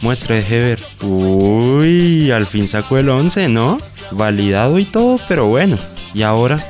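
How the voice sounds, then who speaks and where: An actor playing a cocky, street-smart young Colombian student in a radio soap opera. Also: male voice, mid-range voice, sing-song, a little slow, a little mellow, soft background music. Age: young adult.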